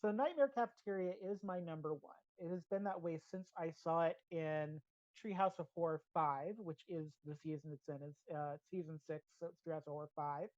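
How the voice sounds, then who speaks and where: Low-pitched American woman podcaster, dull audio. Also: moderate pace, a little mellow, no room sound. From United States. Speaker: podcast host. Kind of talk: casual opinionated pop-culture review. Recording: slightly dull, band-limited audio.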